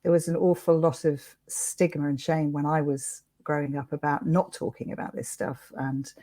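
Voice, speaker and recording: A British woman with a low, mellow voice talks informally and earnestly, as in an interview. The sound is close and dry, with very little room around her. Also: level tone, moderate pace, no echo. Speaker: interviewer and suicide prevention campaigner. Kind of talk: conversational interview.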